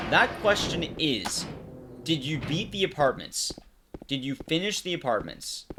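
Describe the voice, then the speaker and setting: A man's voice: a little high, background noise. A man giving casual live gameplay commentary in a conversational way, explaining things to his audience. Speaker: streamer and commentator.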